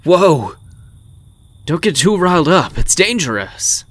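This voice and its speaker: A man's voice: fairly high pitch, very animated, takes it slowly, fairly bright tone, no echo, full character voice. A man playing a casual, teasing smoker in his late twenties for a manga dub, with a wry manner. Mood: startled, defensive. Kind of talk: anime-style manga dub acting.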